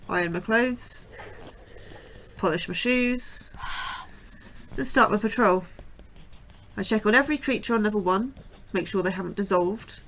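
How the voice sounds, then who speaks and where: A nervous young female scientist, played by a woman, narrating her diary. Her delivery is wry and deadpan, full of flat understatement, and her voice sits at an ordinary pitch for a woman. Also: lightly acted. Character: anxious young female astrobiologist.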